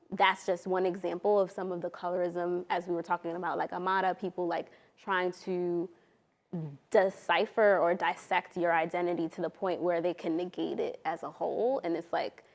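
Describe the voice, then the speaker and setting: Panel guest, woman from Honduras, lowish voice, thoughtful and reflective. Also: little pitch movement, moderate pace. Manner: reflective, thoughtful, searching for words. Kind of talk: casual panel conversation.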